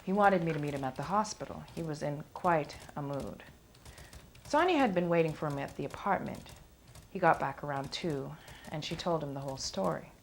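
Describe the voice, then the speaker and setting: A woman's voice: deep voice, animated, normal speed, vocal fry, slight background noise. A woman playing a level-headed witness, recounting family troubles in a conversational storytelling monologue. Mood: matter-of-fact.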